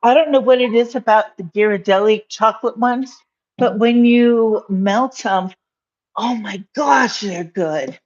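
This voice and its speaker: A woman's voice: on the high side, a little slow, darkish tone. A woman in her fifties hosting a casual live cooking stream from her home kitchen, rambling with many fillers and little laughs, recorded on a phone on the counter. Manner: rambling, giggly, lots of fillers. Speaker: livestream cooking host. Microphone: phone camera on counter. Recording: dull lo-fi phone audio.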